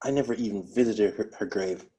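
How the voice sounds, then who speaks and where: A man playing a bitter, guilt-ridden widowed police officer with a husky voice, in a dramatic script read-through. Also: lowish voice, brisk, dark tone. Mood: guilty, haunted.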